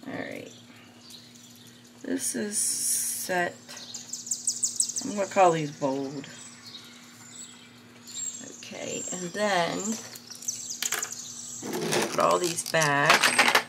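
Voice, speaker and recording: Hoarse middle-aged woman host, gravelly raspy voice, unhurried art review, birds chirping in a noisy room. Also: on the low side. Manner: slow, rambling, many fillers and restarts. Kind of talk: casual art supply review.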